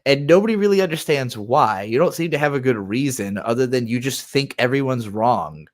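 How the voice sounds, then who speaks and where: A man's voice: medium pitch, lively intonation, a little fast. A man giving casual, unscripted reaction commentary as a streamer, in a conversational, rambling and sweary way. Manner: conversational, rambling, sweary, acts out imagined replies.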